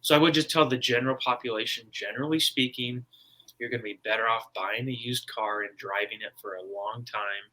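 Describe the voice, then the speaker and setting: A man's voice: medium pitch, steady tone, a little fast, bright, forceful tone, rough recording. A man from the United States, an interview guest, explaining and advising in a casual, plainspoken way. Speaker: guest, personal finance author.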